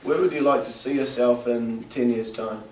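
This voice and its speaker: A man interviewing his friend, reading steady prepared questions. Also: medium pitch, normal speed. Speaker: interviewer, friend nominating the guest. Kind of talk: semi-scripted interview questions. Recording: distant roomy camera sound.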